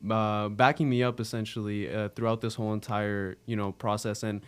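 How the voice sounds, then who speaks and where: Late-teens male, American accent, very clear smooth tone, casual interview guest, earnest and slightly halting. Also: on the low side, little pitch movement. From Arizona, United States. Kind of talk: casual chat.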